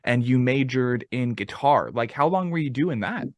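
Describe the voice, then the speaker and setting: A man's voice: mid-range voice, lively intonation, normal speed, no room sound. A man, hosting a casual interview in an effusive, slangy way and swearing freely. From the West. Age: 20s. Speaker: podcast host.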